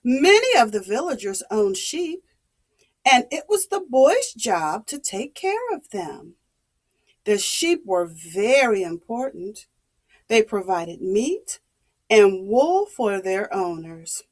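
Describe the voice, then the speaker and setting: A woman telling a story to young children in a dramatic, expressive, deliberate way. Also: medium pitch.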